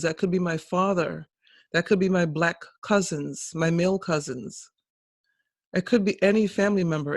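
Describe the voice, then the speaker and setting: A man's voice: high-pitched, no echo. Earnest man, emphatic and dry. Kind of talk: impassioned casual conversation.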